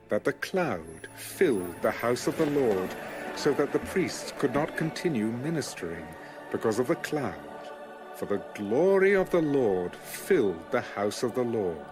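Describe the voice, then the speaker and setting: A man narrating scripture from a script in a measured, solemn storytelling manner. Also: medium pitch. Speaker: narrator of an audio Bible. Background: music.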